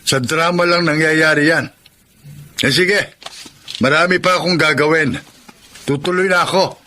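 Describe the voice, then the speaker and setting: An actor with a Filipino accent playing a dismissive, judgmental employer, brusque, in a radio drama. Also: male voice, fairly high pitch, fairly even intonation, unhurried, pushed and bright, slight background noise. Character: Pablo, dismissive, judgmental older male employer.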